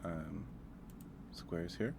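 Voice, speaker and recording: A man's voice: sits low, extremely slow, gravelly, background noise. A man hosting a casual livestream, thinking aloud in a hesitant way. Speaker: livestream host.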